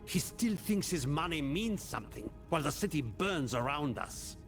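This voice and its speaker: An actor playing a worried, grumbling survivor in a fantasy game. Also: male voice, on the high side, sing-song, moderate pace, faint music behind. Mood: worried, bitter. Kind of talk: scripted game voice acting.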